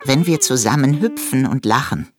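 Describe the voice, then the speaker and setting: A man voicing a dreamy, gentle female lizard in a children's story, speaking softly and slowly. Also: medium pitch, big pitch swings, voice pushed up, natural voice. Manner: soft, dreamy, slowed.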